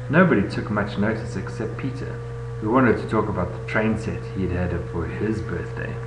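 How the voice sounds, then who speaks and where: A man reading a children's bedtime story aloud in an expressive way, recorded on a webcam. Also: lowish voice. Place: indoor room, webcam recording. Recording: echoey room, noisy webcam audio with hum.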